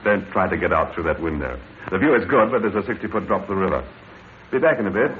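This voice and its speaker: An actor playing a courteous but firm police inspector in a vintage radio drama. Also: male voice, deep voice, expressive. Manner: courteous, measured, quietly stern. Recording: tinny noisy old radio broadcast.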